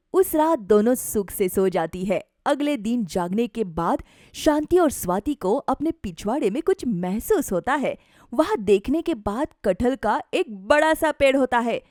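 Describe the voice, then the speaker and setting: A woman narrator reading a scripted animated moral story. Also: a little high, dry recording, studio quality.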